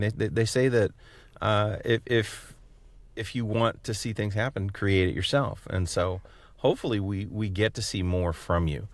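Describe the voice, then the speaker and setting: A man's voice: lowish voice, dry recording. A man co-hosting a podcast, chatting casually and putting questions to a guest. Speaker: podcast co-host, voice actor. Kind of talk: casual interview chat.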